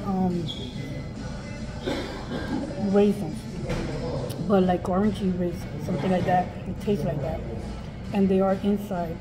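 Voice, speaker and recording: A middle-aged woman talks casually to her camera as a food vlogger. Her pace is slow and halting, with words often repeated, and her pitch sits in an average range. Music plays clearly behind her voice, which is picked up at arm's length. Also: poor audio. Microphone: camera or phone at arm's length.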